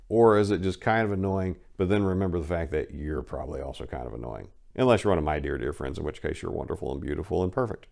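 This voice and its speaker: A man with a very low voice reads a memoir aloud with dramatic weight. He pushes hard on the big phrases and then drops into quieter, offhand asides as he comments. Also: gravelly. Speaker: narrator reading a memoir and commenting.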